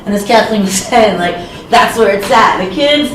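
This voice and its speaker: A woman with a loud voice, a school outreach coordinator, giving a lively, chatty panel talk without a microphone. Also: mid-range voice, moderate pace, a little hiss or hum. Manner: lively, chatty, self-deprecating. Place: conference room. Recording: echoey room, distant from mic.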